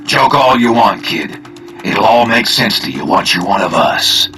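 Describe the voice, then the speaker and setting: A menacing, middle-aged spymaster in a video game. His voice sits low with a hard, forceful edge. He is cocky and coaxing as he preaches for the hive mind, with music behind him. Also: expressive, a little slow. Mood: smug menace. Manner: taunting, cocky, persuasive. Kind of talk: video game cutscene acting.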